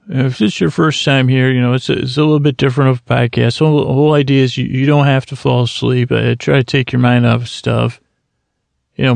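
Guy rambling on his sleep podcast, kinda gravelly, halting, drags words out slow, mid-pitched voice. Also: a little mellow, dry recording. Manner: meandering, halting, drifting into slow drawn-out words. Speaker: podcast host. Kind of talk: rambling bedtime talk to put listeners to sleep.

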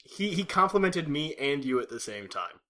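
A man's voice: fairly high pitch, sing-song, normal speed, crowd noise. A man co-hosting a podcast, reading a listener's long email aloud and chatting casually with his co-host.

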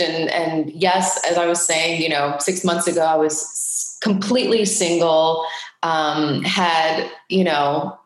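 Woman from Switzerland, interview guest, fairly low pitch, informal, hesitant, reflective, heavily echoing room. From Geneva, Switzerland. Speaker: podcast guest, actress. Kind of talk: casual personal storytelling in an interview. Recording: very echoey room.

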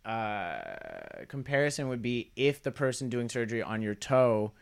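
A man's voice: mid-range voice, big pitch swings, measured pace, vocal fry, clean tone. A young man, a comedian, telling a rambling, wry story in casual podcast chat. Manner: rambling, wry, trails off into quoted asides. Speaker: comedian guest.

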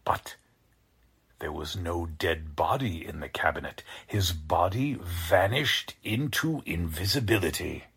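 Creaky, deep-voiced mad scientist. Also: male voice, fairly bright tone, exaggerated voice. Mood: self-justifying. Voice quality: husky, creaky low voice. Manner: slow, deliberate, menacingly formal. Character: deranged, coldly polite male mad scientist, megalomaniac. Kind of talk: 1940s radio drama acting.

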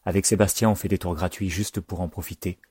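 A man voicing a soft, affectionate mother. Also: sits low, fairly even intonation, quick pace, slightly rough, dry recording, studio quality. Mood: tender, wistful. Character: tender, easily delighted mother on the phone.

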